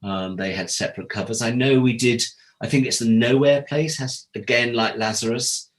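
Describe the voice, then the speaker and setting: A man talking casually as an interview guest, an animated storyteller who recounts anecdotes and acts out remembered conversations. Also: mid-range voice, moderate pace. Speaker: interview guest, audio drama producer and director.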